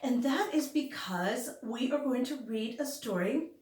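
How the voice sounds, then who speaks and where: Slow-paced woman reading a children's story. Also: on the high side, echoey room. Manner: expressive, addresses young listeners directly. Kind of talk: read-aloud picture book storytelling with asides to children.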